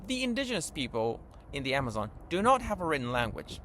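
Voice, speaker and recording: Lively Japanese-accented man, eager host. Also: on the high side, quick pace, a little hiss or hum. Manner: eager, earnest, upbeat. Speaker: channel host. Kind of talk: enthusiastic vlog-style lesson intro.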